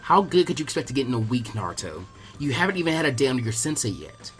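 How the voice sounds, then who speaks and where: A man's voice: mid-range voice, very animated, fast, soft background music, pitched below the performer's own voice, natural voice. A man voicing a confident, teasing young ninja girl with a frank, self-assured manner. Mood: doubtful, frank.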